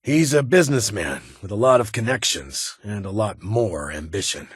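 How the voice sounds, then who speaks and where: A man's voice: lowish voice, expressive, normal speed, breathy edge, no echo, clean studio sound. A man playing a stern, guarded military security chief in a video game, with a clipped, authoritative and brusque manner. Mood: guarded, reflective. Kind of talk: video game character dialogue.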